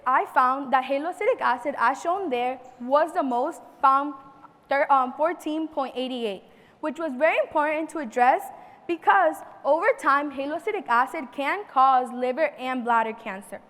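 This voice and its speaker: A woman's voice: sits high, a bit bright. A teenage girl student earnestly presenting her science research project, reading from her slides with some restarts. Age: teens. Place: large convention hall. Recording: long reverb of a large hall.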